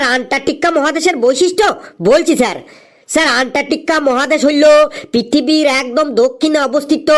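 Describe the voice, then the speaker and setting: Cartoon schoolboy: very high voice, cheeky and crafty, sing-song classroom recitation. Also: male voice, fairly bright tone. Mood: cheeky, eager. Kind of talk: comedy cartoon voice acting.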